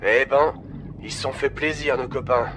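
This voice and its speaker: A man acts a sardonic salvage crewman in a science-fiction audio drama. His mid-pitched voice is wry and informal, with faint noise behind it. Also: steady tone, moderate pace, a bit bright, natural voice. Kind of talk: French sci-fi audio drama acting.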